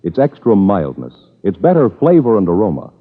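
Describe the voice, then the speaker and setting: A man's voice: on the low side, wildly swooping pitch, a little fast. A man announcing a 1950s radio crime show and its sponsor with punchy, dramatic emphasis. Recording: tinny old radio with loud music.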